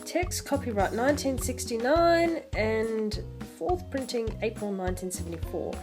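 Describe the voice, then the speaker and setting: A woman's voice: on the high side, expressive, moderate pace, over music. A woman in her twenties hosting an online video, talking casually and reading a picture book aloud with theatrical emphasis. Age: 20s.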